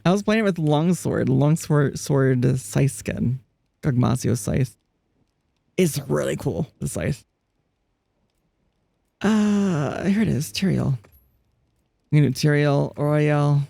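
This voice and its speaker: A chatty streamer talking casually through a live game, often breaking into laughter. The voice is gravelly and mellow, and it is caught dry and close with no room sound. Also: medium pitch, expressive, takes it slowly, pauses often, dry recording. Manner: chatty, laughs often, reads chat aloud; frequent restarts and fillers. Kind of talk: casual live-stream chat while gaming.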